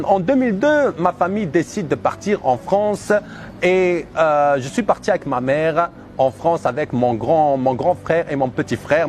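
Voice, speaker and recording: Higher-pitched man testifying over background noise. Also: darkish tone. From Algeria. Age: 30s. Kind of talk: personal religious testimony.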